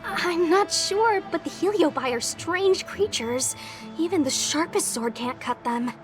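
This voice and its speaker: An earnest young swordswoman from an anime-style game. Her voice is very high and swoops with eager pride. Music plays behind her. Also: expressive, unhurried. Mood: cautious.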